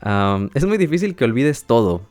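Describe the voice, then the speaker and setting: A man hosting a language-learning podcast, speaking calmly and patiently like a teacher who checks in often with his listeners. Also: medium pitch, dry recording, soft background music. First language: Spanish. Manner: calm, measured, with teacherly check-ins and light laughs. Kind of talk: educational podcast monologue.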